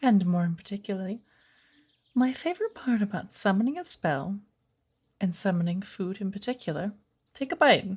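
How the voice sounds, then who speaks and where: A woman playing a patient, playful witch of the woods who gently teaches an apprentice in a soft ASMR roleplay, with a breathy voice. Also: medium pitch, animated. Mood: playful, indulgent. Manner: slow, measured, gently instructive.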